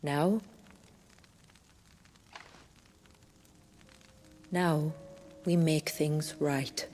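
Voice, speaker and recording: A proud woman warrior who guards her tribe in a fantasy game. Her voice is notably low. She is composed and deliberate, formal yet protective, with music playing softly behind her. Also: fairly even intonation. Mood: quiet resolve. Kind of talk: cinematic fantasy game acting.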